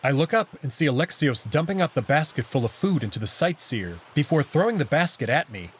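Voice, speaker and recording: A man with a husky voice narrating a first-person visual novel story expressively. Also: on the high side, very animated, fairly quick.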